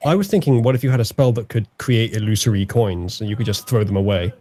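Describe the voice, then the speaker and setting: A man playing a tabletop game, talking in a measured, dry way. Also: fairly low pitch, warm tone. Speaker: player. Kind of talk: casual game chat.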